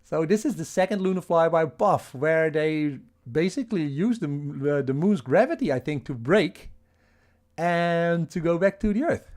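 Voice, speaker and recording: A man's voice: on the high side, lively intonation, normal speed, a little mellow. A man with a Dutch accent hosting a casual livestream, rambling with frequent restarts and questions to the chat. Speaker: livestream host.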